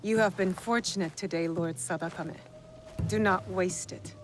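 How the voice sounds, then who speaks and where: A woman's voice: on the low side, normal speed, over music, natural voice. A woman playing a stern, guarded fighter in a video game, with a terse, cool way of speaking. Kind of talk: video game cutscene acting.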